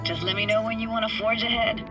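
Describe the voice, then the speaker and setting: A woman's voice: a little high, fairly even intonation, quick pace, lightly acted. Actress as young streetwise techie woman, wistful, clipped slangy phrasing, video game dialogue, prominent music underneath. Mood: nostalgic. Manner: clipped, slangy, intimate sentences. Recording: loud game music behind.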